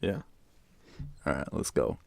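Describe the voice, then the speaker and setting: An Australian man reading a bedtime fairytale in an informal, relaxed way as a storyteller. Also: unhurried, no room sound.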